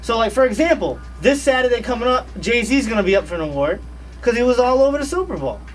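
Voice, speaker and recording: A man's voice: very high-pitched, animated, fast, recorded from a distance, soft background music, rough recording. A man in his thirties, a guest on a radio show, talking in a laid-back, slangy way and repeating his phrases. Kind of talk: casual radio interview chat. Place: radio studio.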